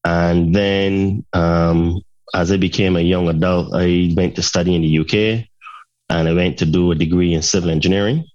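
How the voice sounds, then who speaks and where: A man's voice: sits low, steady tone, normal speed, darkish tone, no echo, highs cut off. A middle-aged man from Barbados, a podcast guest telling his life story in a rambling way, with many fillers and restarts. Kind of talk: casual storytelling.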